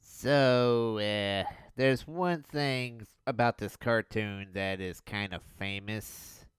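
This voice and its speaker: A young man reviewing an old cartoon in a sarcastic, mocking voice-over with deadpan jabs. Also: fairly low pitch, slow, music in the background.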